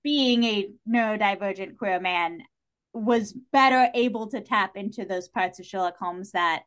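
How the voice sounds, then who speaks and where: A woman talks as a guest on a casual podcast, with a medium-pitched voice. Her delivery is informal and animated. She states her opinions with emphasis. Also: unhurried. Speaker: podcast guest discussing a TV series.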